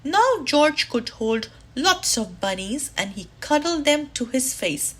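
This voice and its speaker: A woman reading a children's story aloud, deliberately and expressively, with drawn-out emphasis. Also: high-pitched, sing-song, fairly bright tone.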